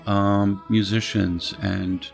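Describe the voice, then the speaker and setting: A man's voice: fairly low pitch, flat delivery, slow pace, gravelly, soft background music. A middle-aged man from Poland, interviewing in a thoughtful, searching way and often restarting his phrases. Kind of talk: reflective conversation.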